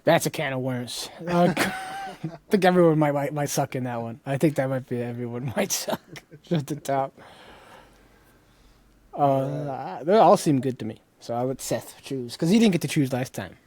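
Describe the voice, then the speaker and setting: A man's voice: medium pitch, moderate pace, darkish tone, dry recording. Blunt man in his thirties, dry close sound. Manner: blunt, profane. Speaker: podcast co-host. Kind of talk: casual chat.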